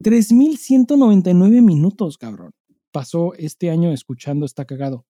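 A man's voice: sits high, moderate pace, warm tone, no room sound, clean studio sound. A Mexican man co-hosting a podcast in casual chat, swearing freely and speaking with emphasis in heavy slang. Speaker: podcast co-host.

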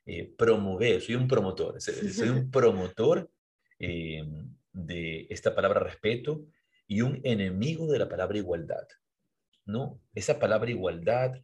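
A low-voiced man, a husky edge to his speech. He talks in an earnest, sermon-like way on a podcast, restarting phrases as he searches for words. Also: very animated, unhurried, darkish tone. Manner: earnest, preachy, repeats and restarts words while thinking. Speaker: podcast co-host. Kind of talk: reflective podcast conversation.

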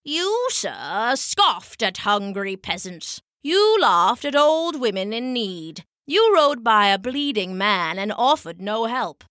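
A woman's voice: medium pitch, big pitch swings, unhurried, a bit bright, no room sound, studio quality. A woman voicing a wise old male druid wizard in a storybook, solemn and formal. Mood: stern. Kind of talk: storybook character acting.

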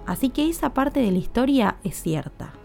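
A woman's voice: fairly high pitch, big pitch swings, moderate pace, vocal fry, darkish tone, soft background music. A woman narrating a scripted podcast in an explanatory way, speaking directly to listeners. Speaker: podcast host.

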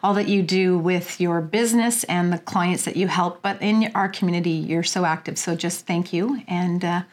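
A woman's voice: fairly low pitch, moderate pace. A woman co-hosting a podcast, introducing and chatting with her guest in a complimentary way with fillers. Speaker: podcast co-host. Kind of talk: casual interview chat.

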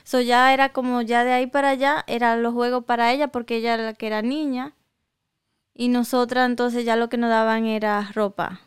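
Dominican young woman chatting, slow memories, really clear voice, dead dry sound. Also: a little high, flat delivery, dry recording. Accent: Dominican Spanish. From Dominican Republic. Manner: unhurried, reminiscing with pauses and restarts. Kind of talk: casual chat.

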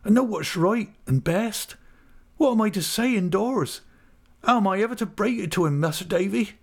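Storybook role, performed by a male reader: distraught rustic young fisherman, tender, tearful, high-pitched pleading. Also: expressive, moderate pace. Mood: frantic. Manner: weeping, pleading, rustic dialect. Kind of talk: dramatised literary audiobook.

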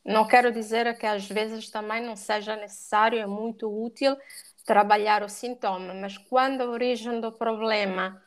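An Italian woman speaking with a clear Italian accent as a guest on a podcast. Her voice is smooth and resonant, pitched a little high, and she talks warmly, often pausing on fillers and restarting her phrases. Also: fairly even intonation. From Italy. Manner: warm, fluent with frequent fillers and restarts. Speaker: pet behaviour coach, guest. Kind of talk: podcast interview.

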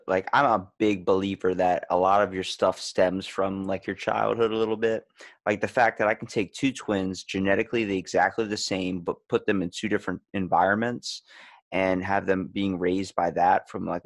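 A man's voice: low voice, fairly even intonation, moderate pace, no room sound. A man in a casual podcast chat, rambling as host and animatedly telling stories, acting out the lines he quotes.

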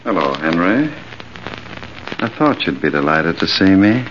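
An actor playing a bitter gray-haired ex-convict bent on revenge, sardonic and deliberate, in 1940s radio drama style. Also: male voice, low voice, expressive. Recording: dull, hissy old radio recording.

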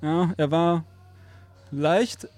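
Rambling, laughing German band member. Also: male voice, a little high, fairly even intonation, extremely slow, darkish tone. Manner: rambling, laughs often, many fillers. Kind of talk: casual interview chat.

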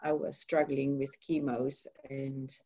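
Dutch-accented woman radio guest, low and warm, soft-spoken personal testimony, dull lo-fi sound. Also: level tone, slow pace, creaky, husky. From Netherlands.

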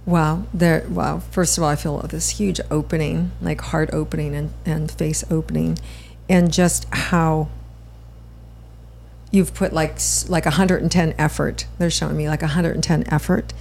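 Woman, podcast host, channeler, very low voice, gravelly fry, rambling. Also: little pitch movement, darkish tone, slight background noise. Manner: rambling, quick laughs, restarts. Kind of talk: casual chat.

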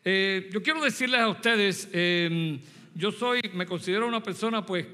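A man's voice: high-pitched, moderate pace, sharp tone. A Puerto Rican man in his sixties preaching a sermon, telling a story from his youth and asking the congregation direct questions. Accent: Puerto Rican Spanish. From Puerto Rico. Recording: long hall reverb.

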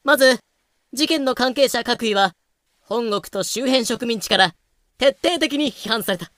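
A woman's voice: a little high, unhurried, fairly bright tone, no room sound. A woman playing an aggrieved, earnest colonial official in a fan-made audio drama. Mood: earnest, explaining.

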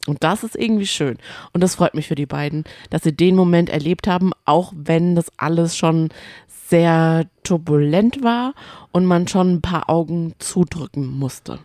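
Low-voiced woman just chatting on a podcast, really dry close sound. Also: moderate pace, mellow, no room sound. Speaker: podcast co-host. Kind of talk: casual chat about reality TV.

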